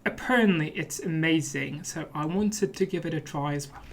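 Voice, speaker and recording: A man's voice: fairly high pitch, normal speed, a little hiss or hum. A man hosting a let's play, giving casual commentary in a playful, mock-serious manner.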